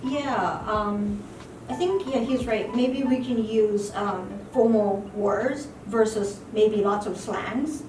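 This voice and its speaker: A woman's voice: mid-range voice, slow, darkish tone, a little hiss or hum. A woman whose first language is Japanese, a localizer talking through ideas in an interview with many fillers. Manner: thoughtful, frequent fillers like "you know". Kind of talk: interview conversation. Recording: echoey room, distant mic, music behind.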